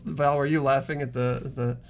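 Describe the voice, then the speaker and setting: A man's voice: mid-range voice, little pitch movement, measured pace, gravelly. A man hosting a casual game playthrough, reading aloud and commenting in a joking, self-mocking way. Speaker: host of a group game playthrough. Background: faint game music.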